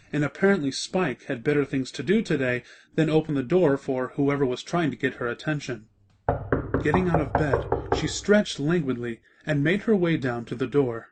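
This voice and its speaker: A man's voice: on the high side, slight background noise. A man reading scripted story narration as the narrator of a fan-fiction drama.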